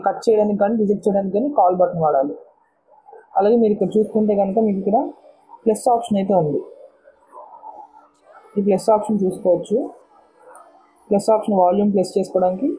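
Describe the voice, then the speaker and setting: A woman's voice: mid-range voice, mellow, a little hiss or hum, poor audio. A woman vlogger giving a casual, step-by-step product walkthrough, often repeating words as she explains. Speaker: motorcycle vlogger and product reviewer.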